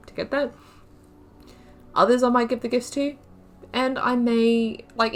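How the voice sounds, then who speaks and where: Woman YouTuber narrating her playthrough, low voice, meandering and hesitant. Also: slight background noise. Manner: rambling, thinking aloud with fillers. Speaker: gaming YouTuber. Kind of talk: gameplay commentary.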